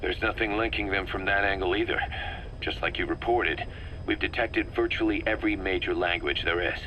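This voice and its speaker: A man playing an analytical, wary military second-in-command in a video game, explaining things in a measured, methodical way. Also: sits low, pushed and bright, a little hiss or hum, low-quality recording. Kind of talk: scripted video game cutscene dialogue.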